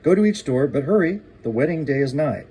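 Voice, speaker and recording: A man's voice: a little high, animated, a little fast, warm tone, slightly rough, heavily acted, low-quality recording. A man voicing a good, patient, wise king from a storybook in a stately, declaiming way. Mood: patient, urging.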